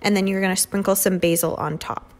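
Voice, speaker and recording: Young woman, mid-pitched, relaxed chatty vlogger narrating her home cooking. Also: brisk. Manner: chatty, many "um" and "like" fillers. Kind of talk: casual cooking voice-over. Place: home kitchen.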